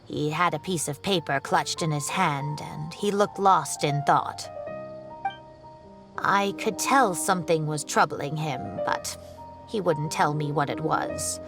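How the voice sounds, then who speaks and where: A kindly, fussy older professor fusses and chatters in a somewhat low voice. She is given to little exclamations. Faint game music hums behind her. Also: takes it slowly, creaky, full character voice. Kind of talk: game voice acting.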